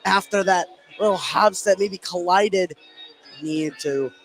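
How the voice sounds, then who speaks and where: A man giving conversational color commentary on a live high school basketball game from inside a gym. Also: takes it slowly, fairly bright tone, faint music behind, rough recording. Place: indoor gymnasium commentary position. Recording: live broadcast with gym crowd noise.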